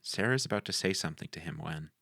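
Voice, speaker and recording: Casting: male screenplay narrator, very low and creaky, recorded dry. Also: animated, racing, pushed and bright, no echo. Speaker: narrator reading stage directions. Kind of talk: scripted narration at a film table read.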